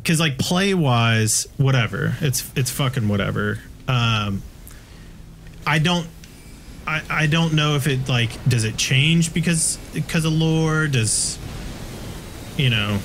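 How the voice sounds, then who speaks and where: A man in his thirties chatting casually while playing a video game, swearing freely and often restarting his sentences in a rambling, halting way. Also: mid-range voice, lively intonation, fairly bright tone, slight background noise. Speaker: video game player and co-host. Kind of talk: casual gaming chat.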